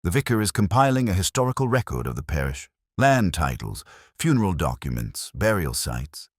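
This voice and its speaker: A man's voice: deep voice, very animated, fairly quick, no echo, clean studio sound, pitched above the performer's own voice. Man playing retired male solicitor, unruffled, evasive, fussy formal delivery, swooping intonation, mystery audio story. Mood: evasive explaining.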